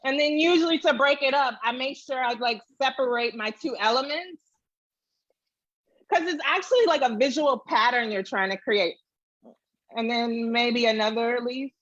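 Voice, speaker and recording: A woman with a high voice talks through a drawing step by step. She is informal and chatty, thinking aloud, in a dry and slightly dull recording. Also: normal speed, a bit bright, no echo. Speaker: illustrator demonstrating drawing. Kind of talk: casual step-by-step drawing tutorial.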